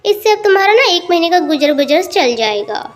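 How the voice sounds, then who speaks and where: A woman's voice: very high-pitched, very animated, a little fast, voice pushed up. A woman voicing a boastful, condescending rich elder sister in a cartoon tale, with a patronizing, emphatic manner.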